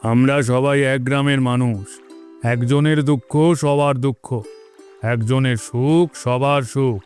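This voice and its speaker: A man voicing a wise, kindly village headman, speaking solemnly in an animated moral story. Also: mid-range voice, unhurried, soft background music, close to an everyday voice.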